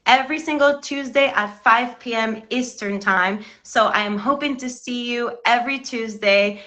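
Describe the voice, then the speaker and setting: Cuban-born woman on her live stream, bright voice, a bit high, pep-talking her viewers. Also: normal speed. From Cuba. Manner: earnest, encouraging, addresses viewers directly. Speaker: live stream show host. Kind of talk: motivational live-stream talk.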